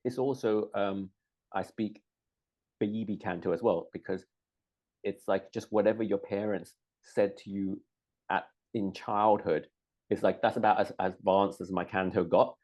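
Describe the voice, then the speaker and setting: A middle-aged man, born in Hong Kong, chatting casually, hesitant with lots of ums and restarts and quick to laugh. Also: lowish voice, fairly even intonation, a little mellow. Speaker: co-host. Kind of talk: casual chat.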